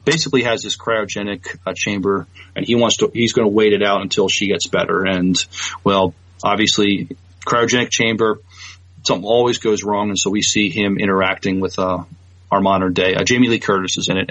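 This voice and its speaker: A man's voice: on the low side, fairly bright tone, no echo. A man co-hosting a podcast in casual chat, hesitant and thinking aloud as he goes. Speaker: podcast co-host. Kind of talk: casual chat about films. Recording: dull, lo-fi, dry sound.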